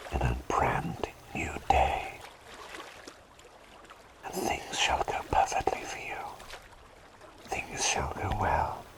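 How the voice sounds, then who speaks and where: Man voicing a whispering, very deep, gentle plague doctor. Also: full character voice. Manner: soothing, softly whispered, breathy, slightly formal. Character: gentle, doting male plague doctor reassuring a patient. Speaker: ASMR performer. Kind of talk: ASMR roleplay, whispered personal attention.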